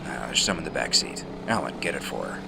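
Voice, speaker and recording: An actor playing a gruff, stern veteran infiltrator in a radio drama, terse and commanding with a gravelly voice. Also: male voice, extremely low pitch, big pitch swings, fast talker, background noise, full character voice. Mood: exasperated.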